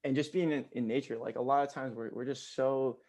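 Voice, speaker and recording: A man's voice: mid-range voice, fairly even intonation, brisk, darkish tone. A man in his twenties, a guest on a podcast, chatting casually with frequent laughs and lots of "like" fillers. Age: 20s. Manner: laughs often, many "like" fillers. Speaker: podcast guest, pro paintball player.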